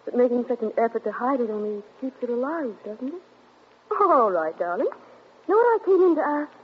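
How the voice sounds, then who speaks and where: An actress playing a gentle young wife with a soft, affectionate delivery, in 1940s radio acting. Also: female voice, a little high, lively intonation, a little fast, breathy edge. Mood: tender. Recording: muffled 1940s radio broadcast.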